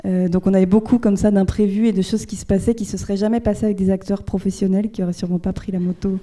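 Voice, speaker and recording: A woman from the Jura speaks as a film director fielding questions, pausing and restarting as she thinks. Her voice is dark and mellow, with a fairly flat, level delivery. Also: mid-range voice. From Jura, France. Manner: hesitant, many fillers and restarts, thoughtful. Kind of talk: answering audience questions.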